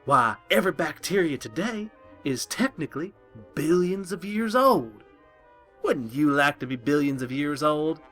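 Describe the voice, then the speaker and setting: A man's voice: on the high side, very animated, unhurried, fairly bright tone, faint music behind. A man playing an eccentric, pompous town scientist giving a mock lecture in a comic audio drama, theatrical and prone to indignation. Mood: indignant ranting.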